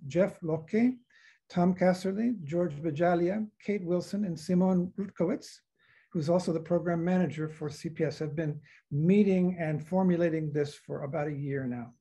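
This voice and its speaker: A man in his sixties giving formal introductory remarks from notes as an event host, somewhat halting, on a video call from an office. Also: sits high, warm tone, husky. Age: 60s. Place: office with bookshelves, indoors. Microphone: video call computer microphone.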